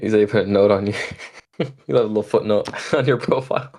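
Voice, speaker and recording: A man's voice: lowish voice, brisk, darkish tone, slight background noise. A man caller in a debate, teasing and laughing.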